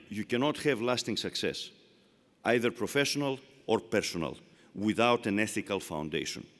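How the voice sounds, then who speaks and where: A man's voice: mid-range voice, a bit bright. Older Greek-accented man in arena reverb. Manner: rhetorical, emphatic, repeats phrases for effect. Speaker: commencement speaker. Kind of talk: formal commencement speech. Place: large arena.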